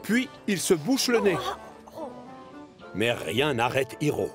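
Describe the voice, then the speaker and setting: A man narrating a children's cartoon as an expressive storyteller. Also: a little high, takes it slowly, faint music behind.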